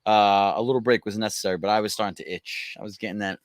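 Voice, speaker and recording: A man's voice: on the low side, quick pace, no echo. A man co-hosting a casual soccer podcast in a small studio, talking loosely and leaning on "you know". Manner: relaxed, leans on "you know". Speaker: podcast co-host. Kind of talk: casual sports chat.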